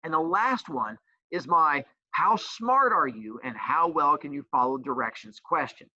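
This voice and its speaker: A male teacher walks his class through homework, bouncing all over in pitch with jokes and mock outrage. His voice is bright and pushed forward. The recording is dry and a little dull. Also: dry recording. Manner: joking, playful teasing; says he has a loud voice. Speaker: teacher and school coach. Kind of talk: explaining a homework assignment.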